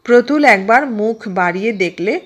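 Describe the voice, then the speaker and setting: A woman reads a literary story aloud in a measured, expressive storytelling manner. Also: fairly high pitch. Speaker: audiobook narrator. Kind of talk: scripted literary story narration.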